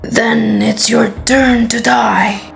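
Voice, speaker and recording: Gravelly, bullying soldier acted by a woman. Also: a little high, little pitch movement, very slow, exaggerated voice. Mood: cold threat. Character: bullying, threatening soldier of an occupying army. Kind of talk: fan dub drama acting. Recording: music behind the voice.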